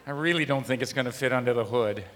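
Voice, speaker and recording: A man's voice: mid-range voice, quick pace, a bit bright, long reverb tail, lightly acted. An actor playing a polite, bewildered everyman customer who stammers and hesitates in an audio comedy. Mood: bewildered, hesitant. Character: flustered, hesitant everyman customer seeking a wizard. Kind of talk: live audio comedy acting.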